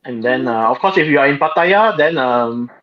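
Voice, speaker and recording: A man hosting a relaxed livestream chat, his voice sitting at an ordinary middle pitch. He wanders through his points with plenty of ums and uhs. The sound is a little dull and lo-fi. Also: moderate pace. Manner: relaxed, rambling with fillers. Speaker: host.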